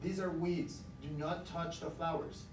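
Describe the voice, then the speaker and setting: Man putting on an excited, high-pitched young boy, echoey room. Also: measured pace, distant mic, lightly acted. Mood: confident. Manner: excited, emphatic, raised voice. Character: eager, polite young boy, proud of his grandfather. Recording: roomy, noisy classroom, recorded from afar.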